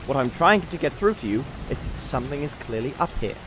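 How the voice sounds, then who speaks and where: A man's voice: medium pitch, lively intonation, fast, noisy background, natural voice. A man playing a prim, self-important, suspicious adventurer in a comic fantasy audio drama, wordy and exasperated. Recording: rain and thunder sound effects behind.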